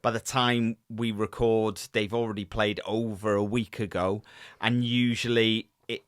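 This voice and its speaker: A man, casually co-hosting a podcast with his wife, animated and full of fillers and restarts. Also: lowish voice, measured pace, clean tone, no room sound, studio quality. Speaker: podcast co-host.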